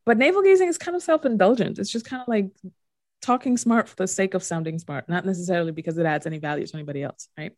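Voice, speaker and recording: A woman's voice: mid-range voice, darkish tone, no room sound, clean studio sound. A woman with a slight Dominican accent, explaining ideas in casual podcast conversation and checking in with "right?". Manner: quick, explanatory, peppered with "right?".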